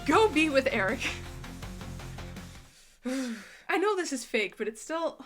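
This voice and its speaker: A woman's voice: sits high, very animated, takes it slowly, fairly bright tone, soft background music. A woman hosting a reaction video, speaking casually and emphatically as she gives her opinions. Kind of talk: casual reaction commentary to camera.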